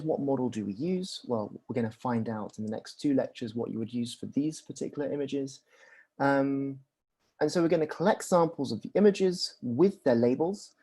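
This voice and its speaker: A man's voice: medium pitch, warm tone. A young man giving a conversational lecture, full of ums and restarts and rhetorical questions.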